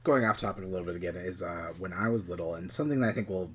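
A young man co-hosting a casual film podcast, his voice swinging between high and deep as he talks loudly. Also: rapid-fire, slightly rough. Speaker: podcast co-host.

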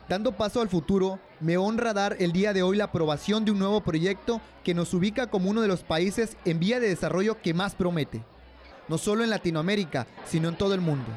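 Young man playing smug Mexican president, slightly high voice, pompous, dismissive, radio play. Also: a little fast, darkish tone, lightly acted. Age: young adult. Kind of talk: amateur radio drama acting.

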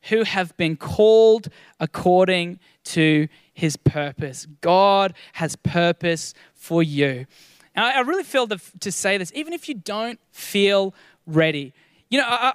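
A man's voice: high voice, no room sound. A man preaching a sermon as a pastor, earnest and encouraging, often repeating his first words as he starts a sentence.

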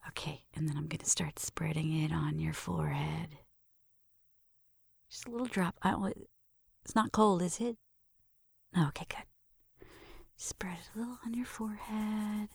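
A woman performing a gentle, intimate ASMR roleplay, softly whispering and murmuring close to the mic as she narrates each step. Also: fairly low pitch, fairly even intonation, measured pace, pauses often, no echo. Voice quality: soft, breathy, often whispering.

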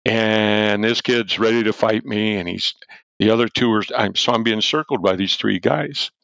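An older man with a low, gravelly voice tells a story as an interview guest, loud and animated, chuckling as he goes. He was a football coach. The recording is dull and dry, with little high end and no room sound. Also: normal speed, no echo. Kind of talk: storytelling in a podcast-style interview.

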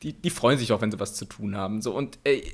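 A man's voice: on the low side, fast talker. A man co-hosting a casual comedy podcast, rambling and laughing through colloquial banter. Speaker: podcast co-host.

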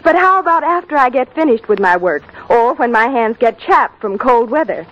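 A woman's voice: on the high side, expressive, moderate pace, highs cut off, lightly acted. A woman playing an eager, earnest housewife asking scripted questions in a 1940s radio commercial. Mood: curious.

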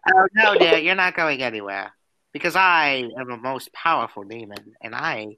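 A vain, flirtatious demon gentleman, acted out by a man in an improvised audio drama. His voice is bright and very lively in pitch, with a theatrical, teasing manner. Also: normal speed, a little hiss or hum, poor audio. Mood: playful, boastful. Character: flamboyant, vain, teasing male demon who loves dad jokes. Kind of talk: improvised character roleplay.

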